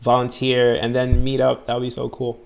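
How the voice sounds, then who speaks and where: A man's voice: medium pitch, normal speed, vocal fry. A man in his twenties tutoring English in a casual online conversation, talking loosely with lots of "like" and "you know". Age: 20s. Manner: relaxed, heavy use of "like" and "you know", frequent restarts.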